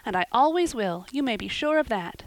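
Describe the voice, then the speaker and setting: A woman's voice: high voice, big pitch swings, normal speed, voice pushed up. A woman voicing a loyal young girl in an audiobook, a devoted best friend who talks in an earnest, plaintive and emphatic way. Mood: staunch devotion. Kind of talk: audiobook character voice.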